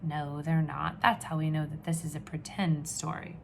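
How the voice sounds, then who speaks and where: A woman teacher reading aloud to young children, with expressive questions and drawn-out emphasis. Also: low-pitched, creaky, a little hiss or hum. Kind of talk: children's read-aloud lesson.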